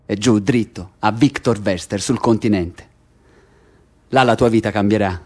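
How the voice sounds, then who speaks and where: A man's voice: lowish voice, unhurried, a little mellow. A man acting in Italian radio theatre as a prisoner who insistently and bitterly taunts his cellmate, piling up detail step by step. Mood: sardonic. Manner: insistent, building lists step by step. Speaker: stage actor in a radio play.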